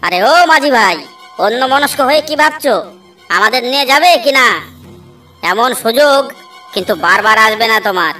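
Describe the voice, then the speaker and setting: A woman's voice: on the high side, lively intonation, moderate pace, long pauses, sharp tone. A woman voicing a reckless, pushy male traveller in a Bengali cartoon, brash and coaxing, fond of liquor. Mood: coaxing, teasing. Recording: distant voice over faint music.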